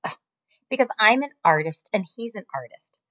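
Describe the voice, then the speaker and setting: A woman's voice: mid-range voice, expressive, moderate pace, bright, forceful tone, pitched above the performer's own voice. A woman voicing a spirited teenage daughter who pleads eagerly, on a muffled lo-fi recording.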